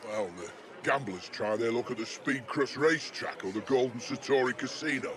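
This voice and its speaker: An actor playing a chatty, jaded older working-class British local in a cyberpunk video game, speaking in a slangy, matey, sardonic way. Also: male voice, medium pitch, moderate pace, noisy background, close to an everyday voice. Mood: casual, matey. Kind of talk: video game character dialogue.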